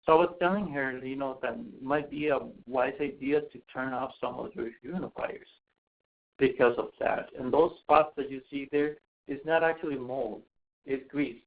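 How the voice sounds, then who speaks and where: A man's voice: medium pitch, fairly even intonation, normal speed. A man from Costa Rica giving a webinar talk on home visits, hesitant, with many fillers and restarts. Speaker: asthma program home visitor. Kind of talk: webinar presentation. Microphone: webinar call mic. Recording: tinny phone-like webinar audio.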